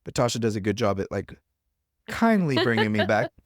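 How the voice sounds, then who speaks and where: A man's voice: fairly low pitch, very animated, fairly quick, no room sound, clean studio sound. A man hosting a casual podcast, rambling off on tangents and filling his talk with "like" and "you know". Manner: rambling, tangents, filler-heavy. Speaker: podcast host.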